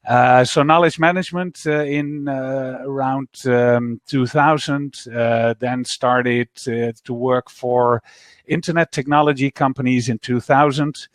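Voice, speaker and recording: A middle-aged man with a Dutch accent, speaking as an interview guest over a video call, measured with frequent pauses. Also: medium pitch, steady tone, dry recording, clean studio sound. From Netherlands. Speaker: guest, startup founder. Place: office.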